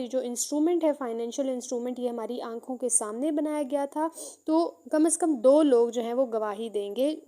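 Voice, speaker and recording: A woman with a Pakistani accent giving an explanatory law lesson, mixing two languages and slowing down to read out points before explaining them. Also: high voice, mellow. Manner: code-switches between Urdu and English, slows down when reading out. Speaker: law lecturer.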